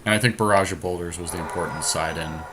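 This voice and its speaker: Low-voiced man with noisy background. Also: steady tone, quick pace, bright, forceful tone. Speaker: podcast host playing an online card game. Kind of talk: casual game commentary, thinking aloud. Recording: noticeable background noise, amateur screen capture.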